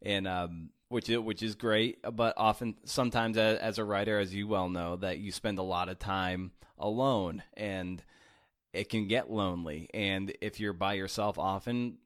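A man's voice: lowish voice, steady tone, moderate pace, fairly bright tone, no room sound, clean studio sound. A man hosting a podcast interview, asking long, rambling questions full of fillers and restarts. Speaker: podcast host.

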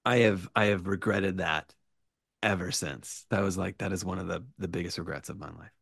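A man telling an animated anecdote as a podcast guest, excitable and self-deprecating, with casual swearing. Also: low-pitched, moderate pace, vocal fry, breathy edge. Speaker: podcast guest, writer and storyteller.

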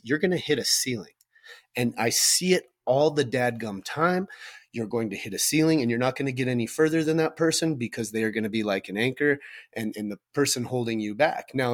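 A man with a loud voice co-hosting a podcast, talking in an emphatic, blunt way and swearing for effect. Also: medium pitch. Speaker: podcast co-host. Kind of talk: casual podcast discussion.

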